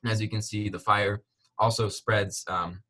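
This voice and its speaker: Young man, fairly low voice, student presenter. Explanatory, slightly halting technical delivery. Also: fairly even intonation, a bit bright.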